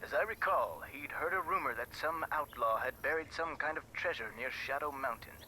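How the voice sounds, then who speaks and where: Bright, fussy map seller. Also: male voice, on the high side, a little fast, slight background noise, low-quality recording. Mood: matter-of-fact. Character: fussy, matter-of-fact male map seller on the phone. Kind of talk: video game voice acting.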